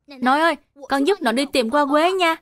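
A woman's voice: high voice, a little fast, a bit bright. A woman voicing a sweet, earnest little boy in a dubbed cartoon, polite and pleading. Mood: eager.

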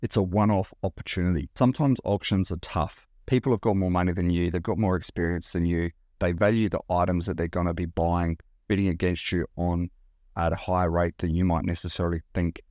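A man in his forties with an Australian accent, talking straight to camera about reselling in a blunt, animated way. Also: sits low, fairly quick, dry recording, studio quality. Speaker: reseller vlogger. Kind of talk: direct-to-camera vlog talk. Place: indoor room by large window.

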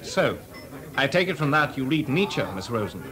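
An actor playing a witty young composer in a 1980s radio drama, talking dryly and ironically. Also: male voice, mid-range voice, big pitch swings, normal speed, over music, natural voice. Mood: wry. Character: composer Delius, young man, wry and witty. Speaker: lead actor. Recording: party crowd behind.

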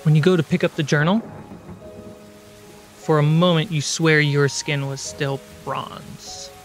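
Man, fairly high voice, game master narration, dramatic deliberate storytelling, music behind. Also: steady tone. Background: background music.

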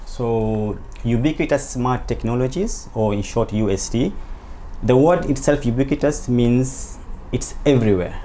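Mellow man from Zanzibar, halting delivery. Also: mid-range voice. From Zanzibar, Tanzania. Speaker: interview guest, company owner. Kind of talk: interview answers, explaining. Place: small indoor office, seated at a desk. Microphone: lapel. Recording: clear background noise, room ambience.

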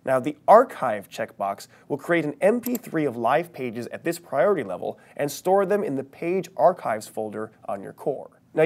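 A man in his thirties presents a scripted training tutorial. His mid-pitched voice moves up and down in a lively way, and he stresses the key terms as he instructs.

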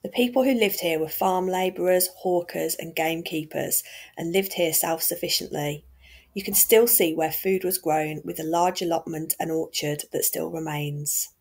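English woman narrating a walking tour vlog, chatty and keen, lowish husky voice. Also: fairly even intonation, normal speed, a little mellow. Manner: friendly, chatty, enthusiastic narration. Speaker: vlogger and tour guide.

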